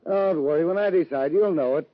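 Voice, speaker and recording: A man's voice: fairly high pitch, fairly quick, a little hiss or hum, lightly acted. A man playing a falsely friendly, smug western town boss with veiled menace in an old-time radio drama. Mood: smug, taunting. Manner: oily, taunting, colloquial. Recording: muffled old radio recording.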